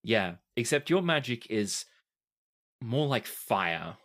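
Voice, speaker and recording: Male game master, medium pitch, deliberate and dramatic storytelling for tabletop play. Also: sing-song, fairly bright tone.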